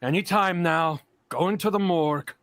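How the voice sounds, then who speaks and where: A man's voice: a little high, normal speed, a bit bright, dry recording, close to an everyday voice. A man playing a brusque, offhand doctor in a vintage-style radio drama. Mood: offhand, weary. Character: brusque, unhurried male doctor on the telephone.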